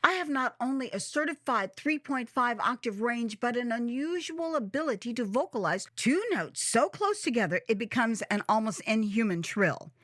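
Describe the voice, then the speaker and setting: A woman reading her own memoir as dramatized storytelling, with a theatrical, expressive and emphatic delivery. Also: a little high, moderate pace, hardly any pauses, no room sound, studio quality. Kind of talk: dramatized first-person storytelling.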